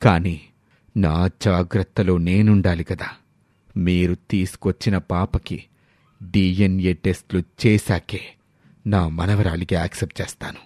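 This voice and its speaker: Guy doing a stern old rich grandpa, way low voice, slow heavy scolding, storybook audio, dry sound. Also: fairly even intonation, a little mellow, dry recording. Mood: stern, angry. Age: older. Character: stern old grandfather, wealthy, wounded and distrustful.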